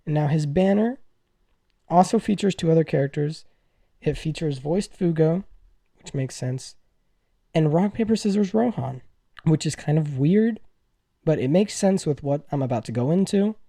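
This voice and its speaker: Excitable young-sounding man, higher-pitched mellow voice. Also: moderate pace, long pauses, no room sound, studio quality. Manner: gushing, excitable, effusive. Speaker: gaming news video host. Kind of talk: casual gaming commentary to viewers.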